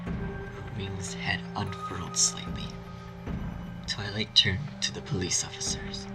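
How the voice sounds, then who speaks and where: A man narrating a scripted horror story in a hushed, breathy voice. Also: low voice, little pitch movement, slow. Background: music.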